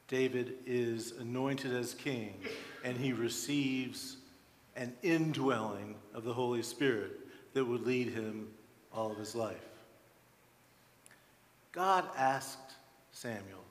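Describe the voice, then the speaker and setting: A man in his sixties preaching a sermon as a pastor, with deliberate, emphatic phrasing and frequent pauses, in a church. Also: mid-range voice. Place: large church sanctuary, at the pulpit. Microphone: headset microphone. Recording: reverberant church hall.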